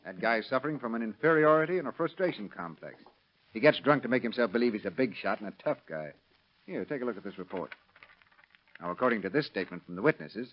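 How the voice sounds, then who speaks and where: Male actor as a hard-boiled cop, rapid delivery, sardonic, clipped, muffled vintage radio. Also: medium pitch, close to an everyday voice. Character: brisk, sardonic, skeptical male police detective. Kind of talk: 1930s radio drama acting. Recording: dull vintage radio recording.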